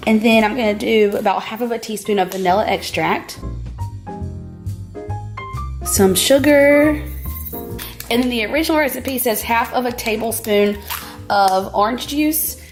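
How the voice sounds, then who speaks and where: A young woman with a cold-roughened, scratchy voice, chatting brightly through a home cooking tutorial. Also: on the high side, a little slow, pauses often, faint music behind. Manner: chatty, bubbly, peppered with "like". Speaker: cooking vlogger. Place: home kitchen.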